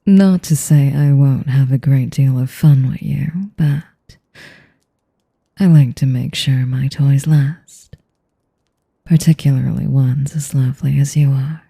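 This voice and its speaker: Ancient vampire mistress played by a woman: dark, velvety tone with creaky edges, sadistic and seductive, whispery taunts for an audio roleplay. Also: steady tone, heavily acted. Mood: coaxing, possessive. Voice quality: low, breathy, often dropping to a whisper. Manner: slow, velvety taunting with condescending endearments. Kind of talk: audio roleplay addressed to the listener.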